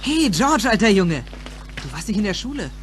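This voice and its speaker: An actor playing an impatient, quick-witted teenage boy in an audio drama. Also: high-pitched, sing-song, a little hiss or hum. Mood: urging, friendly. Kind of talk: dubbed-film style audio drama acting.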